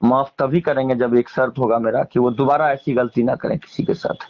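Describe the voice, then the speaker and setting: A man's voice: mid-range voice, fairly even intonation, fairly quick, highs cut off. A man with a Bihari accent speaking casually as an interviewee, emphatically airing grievances in colloquial, repetitive phrasing. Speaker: interviewee, singer and stage performer.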